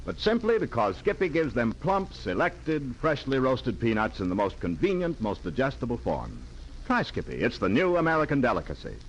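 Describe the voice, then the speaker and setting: A man announcing as a polished, folksy 1940s radio pitchman. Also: big pitch swings, moderate pace, highs cut off, slight background noise. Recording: vintage 1940s radio transcription.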